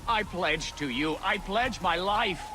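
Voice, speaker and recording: A man's voice: high voice, expressive, takes it slowly, over music. An actor playing a repentant older statesman in a video game, pleading and wordy in a theatrical manner. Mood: remorseful pleading. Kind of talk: dramatic video game acting.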